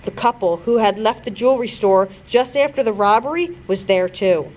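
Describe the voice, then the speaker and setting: A woman's voice: mid-range voice, moderate pace, a little mellow, slight background noise. A woman reading a children's chapter book aloud expressively, as a librarian would.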